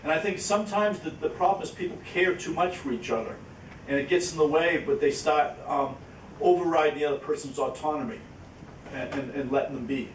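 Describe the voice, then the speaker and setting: A man speaking informally at a question-and-answer session, haltingly, repeating words as he explains with examples. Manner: halting, repeats words, illustrates with acted-out quotes. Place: echoey indoor conference room. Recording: roomy, distant mic, faint rumbling noise.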